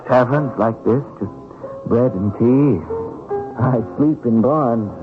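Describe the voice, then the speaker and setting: An actor playing a simple, good-natured Russian peasant of about thirty, earnest and a little halting, in an old-time radio drama. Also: male voice, mid-range voice, music in the background, close to an everyday voice. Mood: weary, friendly. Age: 30s. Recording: narrow, lo-fi old radio broadcast.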